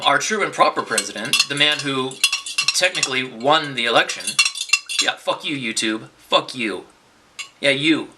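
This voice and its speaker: A man's voice: fairly high pitch, bright, forceful tone. A man delivering a rambling, unscripted political monologue to his viewers, often restarting his sentences and swearing in bursts. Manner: rambling, with many restarts and profane outbursts. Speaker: vlogger.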